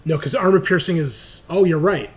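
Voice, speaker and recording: A man's voice: on the high side, moderate pace. A man running a tabletop roleplaying game, casually explaining rules and narrating the action with some swearing. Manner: casual, profane. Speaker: game master.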